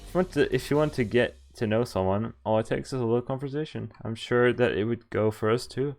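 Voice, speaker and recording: A man's voice: mid-range voice, fast talker, a little mellow. A man streaming a game, reading visual novel text aloud and adding casual, joking commentary. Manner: amused, joking asides. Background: game music.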